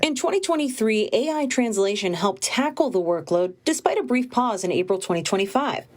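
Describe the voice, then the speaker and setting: A woman reporter narrating a scripted local news feature in a steady, measured way. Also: a little high.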